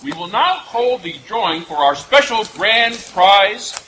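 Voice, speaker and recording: A man's voice: high voice, lively intonation, a little slow, pushed and bright. A man hosting an old-time radio variety show with a showman's projected patter. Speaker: master of ceremonies. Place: outdoor night stage in woods, live audience. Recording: noisy outdoor stage, crowd.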